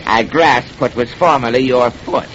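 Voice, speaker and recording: An actor playing a sly, mocking, commanding pirate captain in a theatrical old radio drama style, silky and sardonic. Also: male voice, mid-range voice, measured pace, lightly acted. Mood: mocking, grand. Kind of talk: old-time radio horror drama acting. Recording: muffled, noisy old radio recording.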